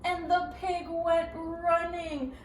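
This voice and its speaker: A woman reading a storybook aloud to children in an expressive, interactive way, with dramatic gasps and prompting questions. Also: extremely high pitch, slow. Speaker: children's story reader, teacher. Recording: roomy, distant, faint background noise.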